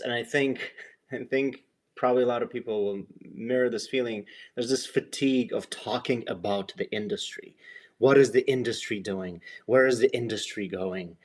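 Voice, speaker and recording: A man hosting a podcast interview, explaining his interest in people in a rambling, thoughtful way full of fillers. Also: mid-range voice. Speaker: podcast host. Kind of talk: casual interview intro.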